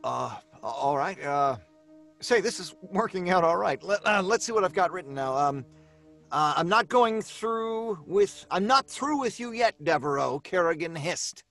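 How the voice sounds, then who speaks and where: A cornered, anxious writer in old-time radio style. His voice sits fairly high, and he comes across as stubborn yet flustered and hesitant. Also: lively intonation, a little slow, creaky, fairly bright tone, faint music behind, exaggerated voice. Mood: musing, businesslike.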